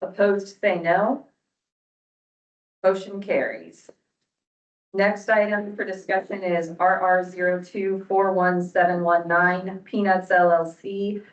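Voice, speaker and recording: A woman's voice: on the low side, steady tone, slow. A woman chairing a formal commission meeting, running procedure in set, formulaic phrases. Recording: roomy, distant, muffled meeting-room audio.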